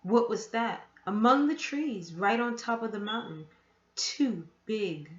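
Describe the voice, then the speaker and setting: Woman storyteller, children's read-aloud, very lively intonation, unhurried, roomy and slightly dull recording. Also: mid-range voice. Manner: deliberate, animated storytelling. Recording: echoey room, dull muffled sound.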